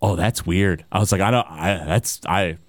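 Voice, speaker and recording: A man's voice: low voice, normal speed, no room sound, studio quality. A man co-hosting a podcast in casual chat, teasing his partner playfully and rambling with many fillers. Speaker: podcast co-host.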